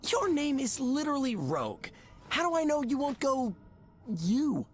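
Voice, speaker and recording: An actress playing a skeptical, quick-witted heroine with casual, questioning speech in a video game. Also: female voice, high-pitched, sing-song. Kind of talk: video game character dialogue.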